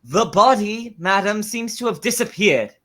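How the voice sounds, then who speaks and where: A man's voice: sits high, expressive, a little slow, a bit bright, exaggerated voice. A young man playing a deferential, puzzled servant in a 1930s radio play, polite and hesitant. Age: young adult.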